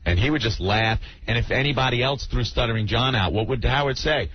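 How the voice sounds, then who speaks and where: A man's voice: medium pitch, brisk. A man hosting a radio show with unscripted banter, speaking in a blunt, sarcastic, indignant way. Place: radio studio. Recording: dull, noisy old radio tape.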